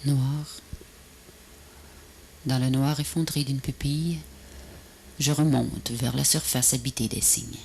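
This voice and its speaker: A breathy, often near-whispered voice recites poetry in a slow, meditative way, phrase by phrase with pauses. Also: medium pitch, steady tone, a little mellow, slight background noise. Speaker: poet.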